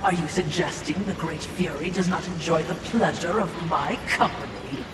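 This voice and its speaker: A man's voice: normal speed, noisy background, close to an everyday voice. A man voicing an obsequious, sardonic spirit servant in a video game, speaking in a formal, snide and fawning way. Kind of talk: theatrical video game dialogue. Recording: echoey, distant, loud rumbling game ambience.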